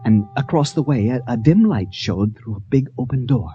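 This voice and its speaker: Man acting mellow, infatuated American adventurer. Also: medium pitch, lively intonation, highs cut off, faint music behind, close to an everyday voice. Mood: dreamy, haunted. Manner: hesitant, halting, earnest. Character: infatuated ship's captain turned brawler, recalling his wild adventure. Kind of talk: old-time radio drama acting with first-person narration.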